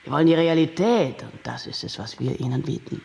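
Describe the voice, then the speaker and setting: An actor playing a pushy, grandiose and excitable TV producer in a German radio play. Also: male voice, fairly quick, creaky, slight background noise. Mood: grandiose pitching. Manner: grandiose sales-pitch talk, emphatic. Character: slick sensationalist TV producer, pushy, easily panicked man.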